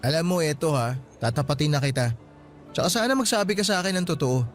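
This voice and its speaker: A man's voice: on the high side, animated, fast talker, soft background music, close to an everyday voice. An actor playing a young male student boyfriend in a radio drama, playful and teasing but frank when he turns serious.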